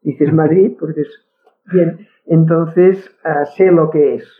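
A woman's voice: sits low, fairly even intonation, takes it slowly. A woman with a Catalan accent, Catalan being her first language, speaking as a guest in a casual conversation, firm and opinionated, often restarting words. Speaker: guest linguist. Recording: tinny phone-like line.

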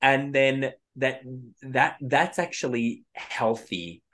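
A man's voice: medium pitch, takes it slowly, a bit bright. A man co-hosting a podcast, talking in a reflective, meandering way and often trailing off mid-thought to restart. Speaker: podcast co-host. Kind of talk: casual spiritual chat.

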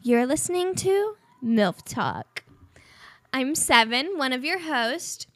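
A woman's voice: high-pitched, lively intonation, takes it slowly, fairly bright tone, dry recording. A woman in her early twenties co-hosting a casual podcast, telling a story candidly with bits of laughter. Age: 20s. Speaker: podcast co-host. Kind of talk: casual chat.

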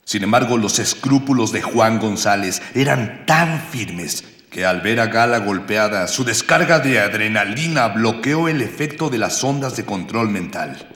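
An actor playing a grandiloquent spirit companion who narrates a radio serial in old-fashioned literary language. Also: male voice, fairly low pitch, lively intonation, a little slow, hardly any pauses, fairly bright tone. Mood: solemn drama. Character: grandiloquent male unseen spirit companion of the hero. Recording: echoey long reverb over music.

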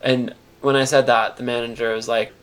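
A man's voice: medium pitch, flat delivery, brisk, clear, resonant tone. A man performing a first-person audio drama monologue as narrator, in an awkward, earnest storytelling manner. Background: music.

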